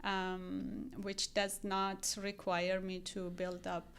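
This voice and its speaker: A woman from Iran with an Iranian accent, a real estate agent guest on a podcast, talking in an unhurried, warm way and laughing often. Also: medium pitch, completely flat delivery, vocal fry, clean tone. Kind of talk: podcast interview.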